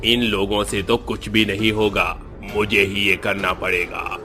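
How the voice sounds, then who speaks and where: A man's voice: on the low side, little pitch movement, unhurried, over music, natural voice. A man voicing a menacing, domineering ghost in a cartoon, speaking with threatening force. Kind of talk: animated horror story acting.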